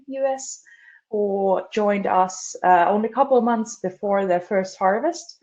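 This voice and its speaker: A woman from Finland, a customer success specialist, giving a measured webinar presentation with frequent "uh" fillers. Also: mid-range voice, darkish tone, muffled recording.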